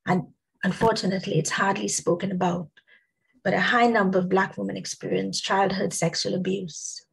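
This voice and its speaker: Husky woman losing her voice. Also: lowish voice, poor audio. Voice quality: husky, gravelly. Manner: measured, reads out lists with many ums. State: losing her voice, clearing her throat. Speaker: guest speaker. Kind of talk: prepared talk at an online summit. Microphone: video call.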